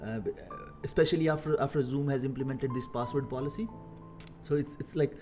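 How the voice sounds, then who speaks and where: A man's voice: medium pitch, steady tone, a little mellow. Indian guy on a coding stream, lots of uh and like, faint music behind. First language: Hindi. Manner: halting, many fillers and repeated words. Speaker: live-streaming software engineer. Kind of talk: casual live-stream talk.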